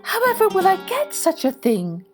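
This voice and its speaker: A woman's voice: very high-pitched, wildly swooping pitch, moderate pace, faint music behind, pitched above the performer's own voice, full character voice. A woman storyteller voicing an earnest, desperate stepmother in a folk tale, with a pleading manner. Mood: pleading, anxious. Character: earnest, desperate young stepmother seeking help.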